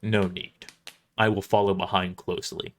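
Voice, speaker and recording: A man voicing a giant armored crusader in an audio drama, speaking in a formal, measured and blunt way. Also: deep voice, little pitch movement, voice pushed down, lightly acted.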